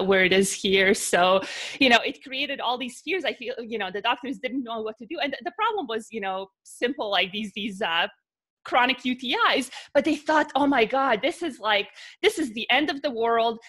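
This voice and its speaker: A woman's voice: on the high side, bright, forceful tone. A woman from Bosnia with a Bosnian accent, a podcast guest talking through her ideas with many fillers and restarts. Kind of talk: casual interview talk.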